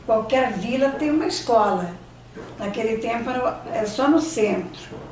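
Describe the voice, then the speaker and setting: An older Brazilian woman whose first language was Italian, reminiscing in an interview and often pausing to search for words and restarting her sentences. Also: on the high side, animated, a little mellow, slight background noise. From Brazil, São Paulo state. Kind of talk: oral history reminiscing. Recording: echoey room, distant mic, faint ambience.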